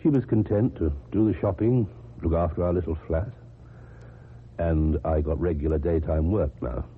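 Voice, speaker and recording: A man's voice: sits low, normal speed. A man acting in a radio drama as an earnest, devoted lover who looks back on his past, tender and hesitant. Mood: reflective. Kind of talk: radio drama acting and first-person narration. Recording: muffled narrow-band old radio recording, clear noise.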